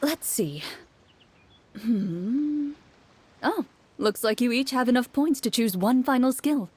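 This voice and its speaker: A woman playing a composed game master who explains rules in a measured, instructive manner in an anime game. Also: fairly high pitch, lively intonation, a little mellow, a little hiss or hum. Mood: helpful.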